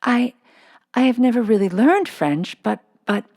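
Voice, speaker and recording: A woman's voice: fairly high pitch, lively intonation, unhurried, darkish tone, voice pushed up. Woman voicing a shy, hesitant little schoolgirl, lightly raised. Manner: hesitant, stammering. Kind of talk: children's storybook reading.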